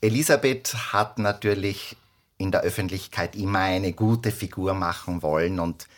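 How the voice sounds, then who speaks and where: A man playing an earnest history expert in a radio play, answering questions in a measured, matter-of-fact way. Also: low voice, lightly acted. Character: earnest male expert on the empress, patiently informative. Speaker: expert guest.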